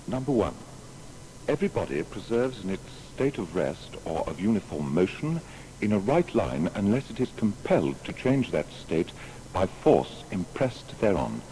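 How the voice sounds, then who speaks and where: An actor playing Isaac Newton as a grave, proud natural philosopher, speaking in a solemn, measured, formal way. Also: male voice, on the low side, sing-song, warm tone, low-quality recording. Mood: solemn, declaratory. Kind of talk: dramatised historical reading. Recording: faint background noise.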